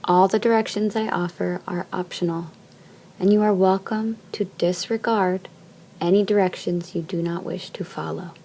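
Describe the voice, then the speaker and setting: Soft, mellow woman guiding deep relaxation. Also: fairly even intonation, slight background noise. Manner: soft, soothing, measured phrasing with long pauses. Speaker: yoga nidra teacher. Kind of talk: guided meditation, slow instruction.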